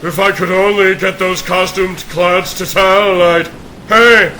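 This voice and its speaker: A man's voice: high voice, steady tone, slow, pushed and bright, a little hiss or hum, exaggerated voice, poor audio. Man as a greedy, gloating cartoon schemer. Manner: gloating, muttering to himself. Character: greedy, scheming, sneering male cartoon developer villain. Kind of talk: comic book dub acting.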